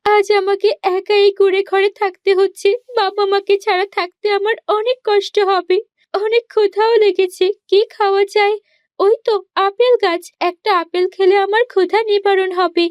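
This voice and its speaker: A woman's voice: extremely high pitch, steady tone, normal speed, no room sound. A woman voicing a lonely, hungry young princess in a Bangla cartoon. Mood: forlorn. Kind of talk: Bangla cartoon fairy-tale voice acting. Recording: dull lo-fi audio under loud music.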